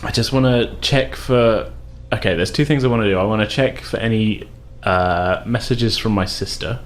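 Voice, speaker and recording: A man's voice: fairly low pitch, moderate pace. A man playing a tabletop role-playing game, telling his character's story casually in a hesitant, rambling way.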